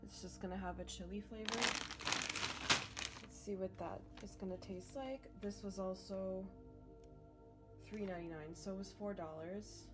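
A woman's voice: medium pitch, normal speed, long pauses. A young woman, chatting casually through a grocery haul voice-over. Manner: chatty, filler-heavy. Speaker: vlogger. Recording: loud background music. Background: music bed.

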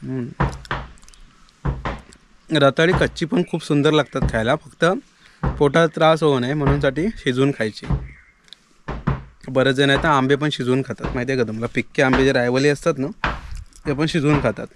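A man's voice: medium pitch, moderate pace, long pauses, background noise. A man in his thirties from the Konkan coast with a Konkani Marathi accent, chatting casually to viewers while holding a camera at arm's length outdoors in a village. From Konkan, Maharashtra, India. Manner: relaxed, chatty, addresses viewers directly. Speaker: village vlogger. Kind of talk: casual vlog narration to camera. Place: outdoors in a rural village yard. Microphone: handheld camera at arm's length.

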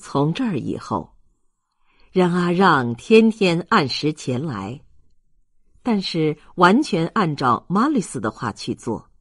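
An audiobook narrator reading a literary novel in an expressive storytelling style. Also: mid-range voice, slow pace, husky, no echo, studio quality.

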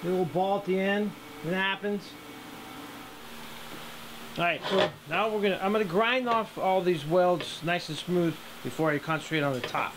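A middle-aged man walks through a welding project in his home workshop, speaking in a relaxed, plain way with ums and restarts. His voice sits a little high for a man. A light hiss runs under the recording. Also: normal speed. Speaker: hobby welder teaching a project. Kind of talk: casual workshop tutorial.

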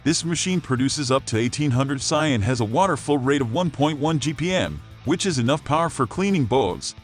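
A man reading a scripted product review voice-over in an upbeat sales-pitch manner. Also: expressive, a little fast, soft background music. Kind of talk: scripted promotional voice-over narration.

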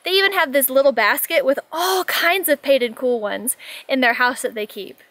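Woman vlogger, bright high voice, gushing and eager, outdoors. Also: moderate pace, no echo. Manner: enthusiastic, gushing. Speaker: RV travel vlogger. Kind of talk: casual vlog narration. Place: outdoors on a ranch.

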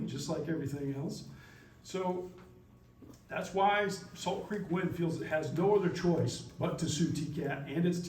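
A man giving forceful, combative, legalistic testimony as a company's speaker at a public hearing. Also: on the high side. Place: public meeting room. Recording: roomy, distant mic, faint noise.

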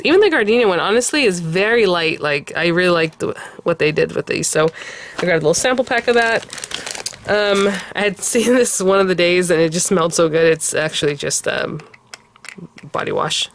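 A woman with a fairly low voice rambles through a show-and-tell of her purchases, eager and chatty, full of little laughs and fillers. She sounds like she is talking at a home craft table. A faint hiss sits under the recording. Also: lively intonation, normal speed. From California. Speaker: craft and haul vlogger. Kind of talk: casual show-and-tell haul chat.

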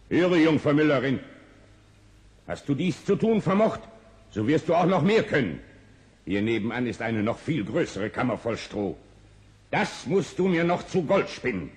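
Stern pompous king, greedy, very animated delivery, big echoing hall, old radio play. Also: medium pitch. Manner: pompous, imperious, drawn-out words. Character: greedy, stern, commanding fairy-tale king. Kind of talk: 1960s German fairy-tale radio play. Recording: long reverberant hall sound.

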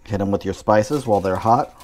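Man, cooking show host, slightly low voice, casual, wry asides. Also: a little fast, darkish tone.

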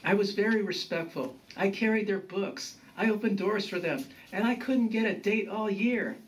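A man's voice: sits high, animated, normal speed, pitched above the performer's own voice. A man voicing an earnest, hapless grandson of thirty in a story, hesitant and pleading. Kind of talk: storytelling character voice. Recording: distant mic with background noise.

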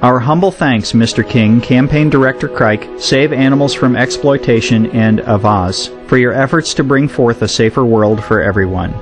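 A man narrates a scripted news report in a measured, solemn voice pitched in the middle range. Music plays clearly behind him throughout.

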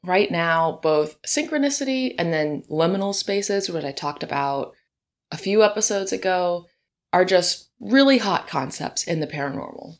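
Hoarse-voiced woman chatting through a podcast. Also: on the low side, lively intonation, normal speed. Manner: chatty, conversational, with asides. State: hoarse and deeper in the morning. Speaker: podcast host. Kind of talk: solo podcast monologue. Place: home room, birds outside.

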